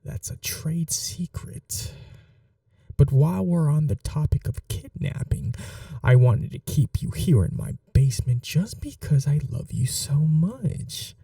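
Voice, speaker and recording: Obsessive lovestruck kidnapper, male actor, soft and breathy, slow delivery with animated pitch swings, awkwardly tender ASMR roleplay. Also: no room sound, distant mic, heavily acted. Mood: tender, coaxing. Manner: gentle, halting, coaxing reassurance. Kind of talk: intimate ASMR roleplay, often near whispering.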